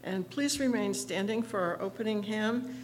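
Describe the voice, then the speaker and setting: A woman pastor speaks in a chatty, friendly way, pausing often on "uh" and "um". Her voice sounds a little rough this morning, and it sits at an ordinary pitch. A faint room ambience hangs behind her. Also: a little hiss or hum, low-quality recording. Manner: friendly, chatty, with frequent fillers. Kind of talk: church announcements and liturgy. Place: church sanctuary.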